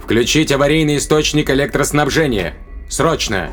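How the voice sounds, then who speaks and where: Guy acting as bossy gruff-talking starship captain, mid-pitched, defiant, sci-fi audio play. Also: animated, normal speed, soft background music, lightly acted. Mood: urgent, commanding. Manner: commanding, terse, coarse-tongued. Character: defiant, quick-thinking male spaceship captain. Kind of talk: science fiction audio drama acting.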